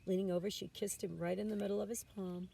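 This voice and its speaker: A woman's voice: mid-range voice, fairly even intonation, fast, vocal fry, mellow. A woman narrating a children's storybook aloud as a teacher would, with expression. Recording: distant mic with background noise.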